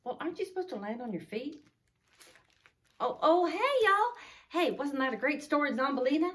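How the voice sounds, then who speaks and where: A woman's voice: high-pitched, animated, normal speed, fairly bright tone. An older woman hosting children's story time, chatting casually and in a folksy way to young viewers. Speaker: children's story reader and host.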